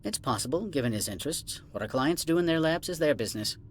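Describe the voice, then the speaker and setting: An actor playing a haughty alien corporate administrator in a video game, condescending, formal and clipped, dismissive of outsiders. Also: male voice, fairly high pitch, animated, fast, a little hiss or hum, lightly acted. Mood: guarded, formal. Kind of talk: video game cutscene acting.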